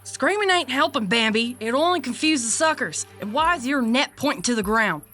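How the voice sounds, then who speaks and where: An actress playing a gruff, sardonic working woman, blunt and bossy, in an audio drama. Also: a little high, lively intonation, fairly quick, a bit bright, soft background music. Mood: scolding. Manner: blunt, dry, bossy, drawling slang. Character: gruff, sardonic Southern woman pest catcher, seasoned boss. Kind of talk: audio drama character acting.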